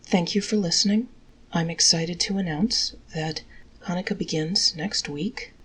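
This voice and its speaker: A woman reading a folktale aloud as an expressive storyteller. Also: on the low side, measured pace, a little hiss or hum. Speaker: storyteller, host.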